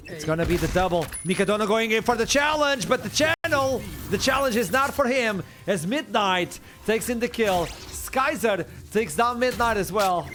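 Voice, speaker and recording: A man from Portugal doing excitable play-by-play commentary on an esports match. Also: sits high, moderate pace, hardly any pauses, background noise. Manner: excitable, builds to shouts at big plays. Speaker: esports caster.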